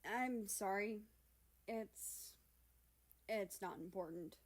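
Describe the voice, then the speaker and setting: A woman's voice: mid-range voice, takes it slowly. A woman voicing an evasive young man in a storybook, reluctant and dismissive, guarding a secret.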